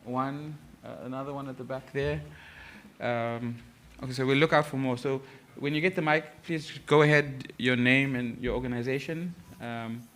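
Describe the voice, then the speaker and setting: A man's voice: medium pitch, moderate pace. A man chairs a panel discussion at a conference, taking questions from the floor. He talks loosely, with many ums and uhs, and a faint hiss of noise sits behind his voice. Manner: relaxed, informal, with many fillers. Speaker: panel moderator. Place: conference hall with an audience.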